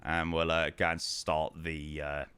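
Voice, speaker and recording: A young man chatting casually to his live-stream viewers, telling stories with lively, animated delivery and answering chat. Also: rumbling low, a little slow, clear, resonant tone. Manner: chatty, animated storytelling, reads chat aloud. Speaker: flight sim streamer.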